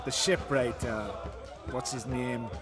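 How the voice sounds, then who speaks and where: An actor playing a hearty tavern keeper in a fantasy video game, telling tales in a folksy, animated way. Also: male voice, mid-range voice, slow, music in the background, natural voice. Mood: amused, chatty. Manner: folksy, animated storytelling with archaic dialect words. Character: hearty male island tavern keeper, fond storyteller.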